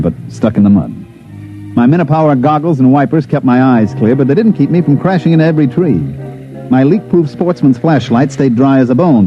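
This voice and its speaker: An actor playing a wisecracking, sardonic special agent in a 1950s radio drama, both speaking and narrating in a dry, hard-boiled way. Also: male voice, mid-range voice, close to an everyday voice. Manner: wry, sardonic. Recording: dull lo-fi vintage radio, faint music.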